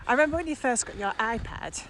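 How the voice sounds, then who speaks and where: A woman's voice: on the high side, lively intonation, fast talker, fairly bright tone. Woman in her forties, chatting outdoors. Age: 40s. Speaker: podcast co-host, business owner. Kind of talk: casual conversational podcast chat. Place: outdoors at dusk. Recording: faint outdoor background noise.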